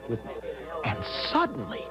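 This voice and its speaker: A man's voice: medium pitch, wildly swooping pitch, measured pace. An actor playing a nervous, easily flustered young American traveler in a radio drama, stammering and fretting as he talks. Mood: shocked narration. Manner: stammering, hesitant, fretful. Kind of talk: theatrical radio drama acting with first-person narration. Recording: dull vintage radio recording with music.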